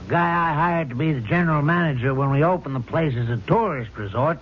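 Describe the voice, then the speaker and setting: A man's voice: fairly high pitch, fast talker, a little hiss or hum, close to an everyday voice. An actor playing a stubborn, skeptical middle-aged American millionaire father, brusque and blustering, in old-time radio style. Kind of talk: old-time radio comedy-drama acting. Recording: dull old radio broadcast.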